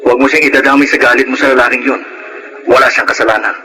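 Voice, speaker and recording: An actor playing a resolute, protective older brother in a Tagalog radio drama, firm and reassuring, with a Filipino accent. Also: male voice, medium pitch, fairly even intonation, fast talker, bright, forceful tone, faint music behind, lightly acted, low-quality recording. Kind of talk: Tagalog audio drama acting.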